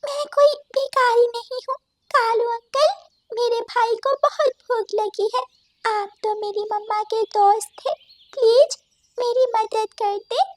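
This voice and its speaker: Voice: extremely high pitch, steady tone, slow pace, dry recording. A performer voicing a little orphan girl bird in a cartoon, sad, plaintive and pleading.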